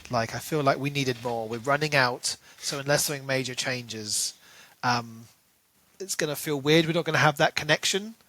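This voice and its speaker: A man's voice: mid-range voice, moderate pace, sharp tone, poor audio. A man co-hosting a book podcast, speculating eagerly in a casual, conversational way. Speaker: podcast co-host.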